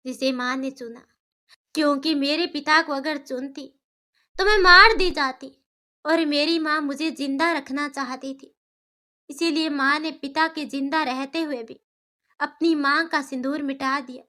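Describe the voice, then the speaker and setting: Woman as a young female doctor, earnest devoted daughter, high pitch, bright tone, cartoon story dialogue.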